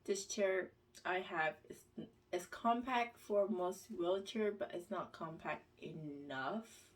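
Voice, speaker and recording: A woman vlogger reads questions aloud and answers them in a casual, halting way, with plenty of pauses and fillers. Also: on the low side, far from the mic, rough recording. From United States.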